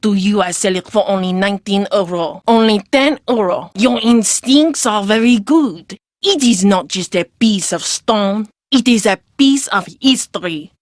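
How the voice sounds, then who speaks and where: A woman's voice: medium pitch, unhurried, hardly any pauses, no room sound, studio quality, natural voice. A woman voicing a shrewd, theatrical French market vendor in a video game, with a French accent and formal, salesy phrasing. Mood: persuasive, coaxing. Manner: formal, slightly stilted foreign phrasing, salesy.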